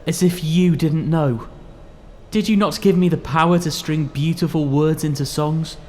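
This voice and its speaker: Brief: despairing young male poet, acted by a man, audio drama, higher register, melodramatic, proud, faint background noise. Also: moderate pace, darkish tone, natural voice. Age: young adult. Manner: earnest, declamatory, melodramatic. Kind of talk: theatrical audio drama acting.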